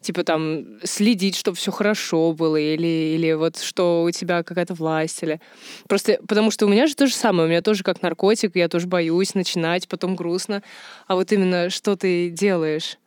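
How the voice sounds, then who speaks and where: A woman in a casual podcast discussion, talking fast and curiously, with many fillers. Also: on the low side, fairly even intonation, darkish tone, clear, resonant tone, no room sound, clean studio sound.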